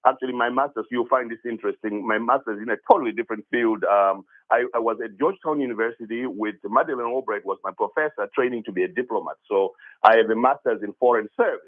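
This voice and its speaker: A man's voice: mid-range voice, fast. A man from Zimbabwe calling in to a radio talk show about his app, talking eagerly with many restarts and repeated words. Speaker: caller, app founder. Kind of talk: phone-in radio conversation. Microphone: telephone. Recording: tinny phone line.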